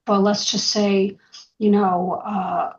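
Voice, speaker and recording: Woman participant, hesitant, lots of fillers, flat even pitch, dull lo-fi audio. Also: slow pace, gravelly. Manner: hesitant, full of "like" and "you know". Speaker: participant in a group discussion.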